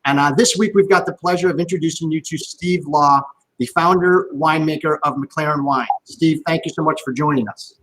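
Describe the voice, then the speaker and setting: An upbeat male host keeps a streamed wine tasting moving with chatty jokes and housekeeping notes. His voice sits slightly high, and he talks over a video call setup. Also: fairly quick, a bit bright, a little hiss or hum, poor audio. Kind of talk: live-streamed interview.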